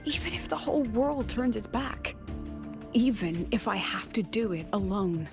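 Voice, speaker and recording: A woman's voice: on the high side, lively intonation, moderate pace. A woman voicing a proud, resolute young academy president in a video game, speaking in stirring declarations. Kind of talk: dramatic game voice acting. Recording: music behind the voice.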